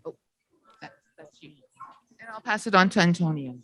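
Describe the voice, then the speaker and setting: A woman's voice: fairly low pitch, animated, normal speed, bright, forceful tone, no room sound. A woman presents at a conference in an informal, slightly hesitant and polite way.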